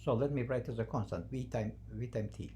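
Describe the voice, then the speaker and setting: A non-native English speaker teaching math, thinking aloud haltingly and repeating phrases while writing out the steps. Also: mid-range voice, warm tone. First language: not English. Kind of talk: math lesson, working through a problem at the board. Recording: noisy, with a constant hum.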